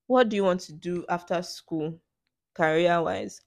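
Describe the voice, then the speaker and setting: Young Nigerian woman, podcast host, low-pitched, sarcastic and playful, dry close sound. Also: a little slow, no room sound. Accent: Nigerian English. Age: young adult. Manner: sarcastic, playful, laughs often. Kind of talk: casual solo Q&A podcast.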